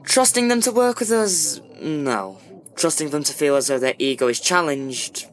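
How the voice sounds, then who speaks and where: An actor playing an earnest, persuasive leader of celestial warriors in a fantasy audio drama, grave and reasoning. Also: male voice, fairly high pitch, lively intonation, moderate pace, natural voice.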